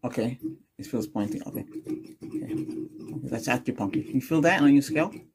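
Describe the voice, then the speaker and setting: A man's voice: medium pitch, lively intonation, brisk, gravelly, background noise. An older man doing an ASMR doctor roleplay, giving short instructions and asking brief questions as in an exam. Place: small indoor room with cloth backdrop.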